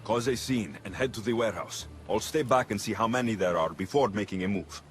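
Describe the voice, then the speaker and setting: A man's voice: lowish voice, a little fast, a little hiss or hum, close to an everyday voice. A man playing a terse, determined Greek mercenary hero in a video game cutscene. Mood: focused.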